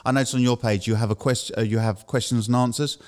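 A man's voice: medium pitch, fairly even intonation, quick pace. A British man hosting a casual chat interview in a jokey, teasing way. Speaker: host.

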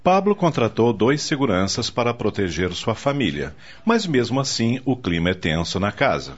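A man's voice: medium pitch, big pitch swings, moderate pace, slightly rough. A man reading scripted narration for a radio drama. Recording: dull lo-fi radio recording.

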